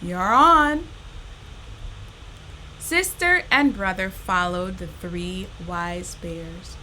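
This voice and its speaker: Bright-voiced, unhurried woman reading to children. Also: fairly low pitch, slight background noise, low-quality recording. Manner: addresses young listeners directly, unhurried and animated. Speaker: storytime host reading a children's picture book. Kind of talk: expressive children's story reading.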